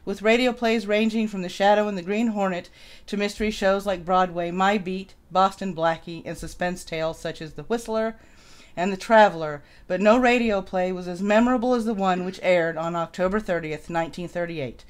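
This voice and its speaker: A woman with a smooth, clear voice at a middle pitch hosts live. She moves between casual asides and scripted introductions read aloud. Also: normal speed. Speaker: live show host.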